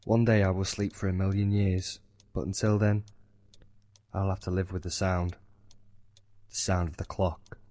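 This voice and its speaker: A man's voice: low-pitched, level tone, moderate pace, long pauses, dark tone, dry recording, natural voice. An actor playing a guilt-ridden, sleepless young man in an audio horror drama. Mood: weary, brooding.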